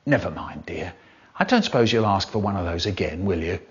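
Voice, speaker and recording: A man putting on a mother who speaks softly and gently teases her son, on a dull lo-fi recording. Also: sits low, animated, a little fast, slightly rough, voice pushed down, full character voice. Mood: soothing. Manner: soft, hushed, gently teasing.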